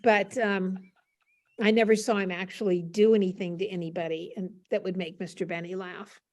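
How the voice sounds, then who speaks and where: A woman's voice: mid-range voice, flat delivery, moderate pace, darkish tone. Older female panel guest, professor type; chatty, self-deprecating storyteller on a video call. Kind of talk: casual anecdotal storytelling. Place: classroom.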